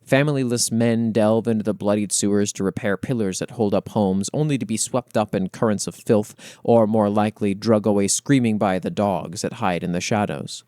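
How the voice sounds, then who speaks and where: A man hosting a podcast, reading written fiction aloud in a measured, expressive storytelling way and adding his own commentary. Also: on the low side, clear, resonant tone, no room sound, studio quality. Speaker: podcast host.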